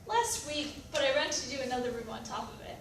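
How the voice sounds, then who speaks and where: Shifty, apologetic motel clerk for the stage, played by a woman, high voice, distant echoey pickup. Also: a little fast, natural voice. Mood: flustered, sheepish. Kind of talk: readers theatre stage acting. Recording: echoey room, distant mic, background noise.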